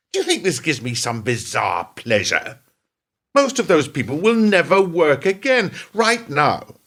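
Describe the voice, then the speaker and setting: A man's voice: mid-range voice, bright, forceful tone. A man playing a defensive, hard-nosed older company boss in a radio play, arguing emphatically. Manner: argumentative, exasperated, emphatic. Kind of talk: radio play acting, dramatic dialogue.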